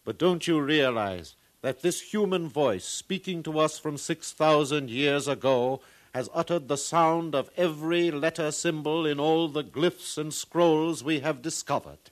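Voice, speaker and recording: An actor playing a learned, proud museum curator with formal, professorial speech in a 1950s radio drama. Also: male voice, a little high, measured pace, lightly acted. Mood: scholarly, pleased.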